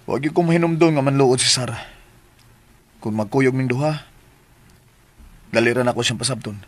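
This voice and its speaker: Husky Cebuano Filipino man, exasperated sweetheart, acted. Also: mid-range voice, moderate pace, close to an everyday voice. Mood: teasing, defensive. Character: exasperated but apologetic man, teasing and firm sweetheart. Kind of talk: Filipino radio drama acting.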